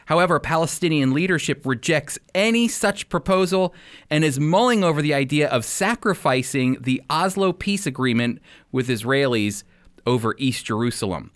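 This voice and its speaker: A man hosting a radio program as a Bible teacher, speaking with deliberate, emphatic phrasing. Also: fairly high pitch, expressive, a bit bright, clear, resonant tone.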